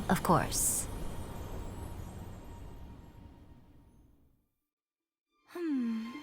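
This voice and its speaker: A woman voicing a sly, elegant fox shrine maiden in a video game, coy, teasing and self-assured. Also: on the high side. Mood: coy, wistful. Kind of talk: video game character acting. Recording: faint music behind the voice.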